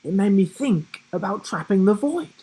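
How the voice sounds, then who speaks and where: A man's voice: high-pitched, animated, moderate pace, dark tone, husky, voice pushed up, low-quality recording. A man voicing a young boy hero, hesitant but determined, in a children's adventure storybook. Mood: earnest.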